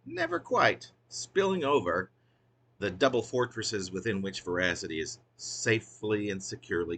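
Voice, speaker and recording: A man's voice: on the low side, a bit bright. A man who is a poet reading his own wry, literary work in a theatrical, emphatic way, drawing out phrases.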